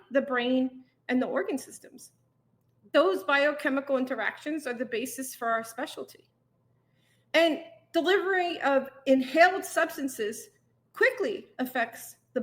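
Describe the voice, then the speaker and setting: A woman physician giving advocacy testimony in articulate, formal, emphatic phrasing. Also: high-pitched. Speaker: physician, anesthesiologist.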